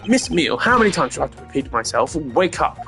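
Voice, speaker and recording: A man's voice: medium pitch, fast talker, lightly acted. A man voicing a stern, sardonic prosecutor in a mystery game, speaking formally, precisely and cuttingly. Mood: exasperated. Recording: game music behind the voice.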